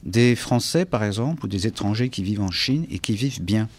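A man's voice: fairly low pitch, expressive, fairly quick. A man from France with a French accent, speaking reflectively as an interview guest, often searching for words and repeating himself. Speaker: interview guest, English teacher and author.

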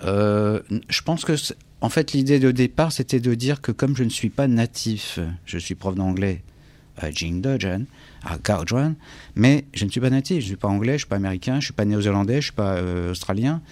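Male interviewee, French accent, fairly low pitch, dry sound. Reflective delivery that gropes for words and doubles back. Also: fairly quick, darkish tone, dry recording. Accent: French from France. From France. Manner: hesitant, searching for words, repeating himself. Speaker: interview guest, English teacher and author.

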